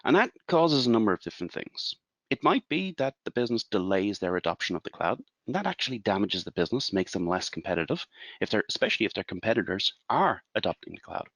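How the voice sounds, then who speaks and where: An Irish man in his forties presents a webinar as a consultant, explaining in a measured way and asking rhetorical questions. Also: on the low side, sing-song, fairly bright tone, no echo. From Ireland.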